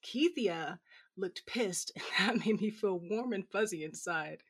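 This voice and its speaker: Slow, husky, defiant drunken cyborg woman. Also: mid-range voice, fairly bright tone. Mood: sardonic. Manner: slurred, sardonic, foul-mouthed tirades. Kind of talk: first-person dramatic narration.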